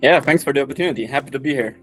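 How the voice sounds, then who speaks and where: A man in his late twenties, speaking at a middle pitch as an interview guest. He talks in a loose, relaxed way and fills his pauses with "uh" and "yeah". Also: soft background music. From Austria. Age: late 20s. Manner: relaxed, many fillers like "uh" and "yeah". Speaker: private investor guest. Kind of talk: casual interview answers.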